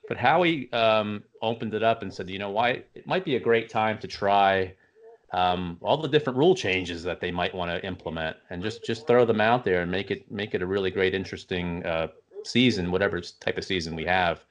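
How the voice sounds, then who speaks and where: Deep-voiced man, halting interviewer. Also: dull audio. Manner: rambling, halting questions. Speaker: interview host. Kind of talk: casual interview.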